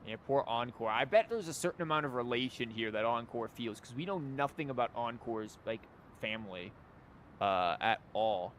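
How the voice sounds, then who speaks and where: Wry man streaming over rumbly noise. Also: lively intonation, moderate pace, fairly bright tone. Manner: wry, rambling, mock-quoting. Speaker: game livestreamer. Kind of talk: casual live game commentary.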